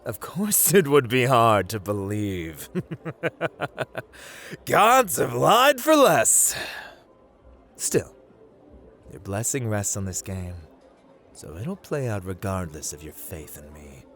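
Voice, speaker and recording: Low, theatrical man acting as a teasing trickster god. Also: big pitch swings, faint music behind, full character voice. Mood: sly, teasing. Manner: theatrical, teasing, deliberate, dropping into hushed murmurs. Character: playful, taunting male god of luck, smooth-talking card dealer. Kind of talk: ASMR roleplay acting.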